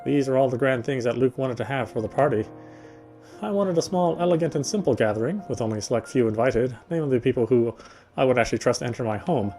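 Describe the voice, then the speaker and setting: A man reads a visual novel script aloud in a mid-pitched voice. He delivers it as story narration, with soft music playing underneath. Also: quick pace. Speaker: let's player reading a visual novel aloud.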